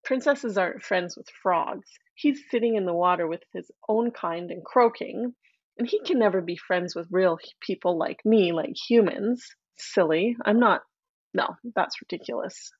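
A woman's voice: medium pitch, measured pace, husky, no room sound, highs cut off. A woman voicing a spoiled young princess who talks in a careless, dismissive way. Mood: scornful.

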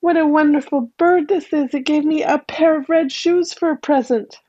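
A woman's voice: very high voice, expressive, moderate pace, warm tone, lo-fi sound, pitched above the performer's own voice. A woman voicing a sweet little girl. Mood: eager.

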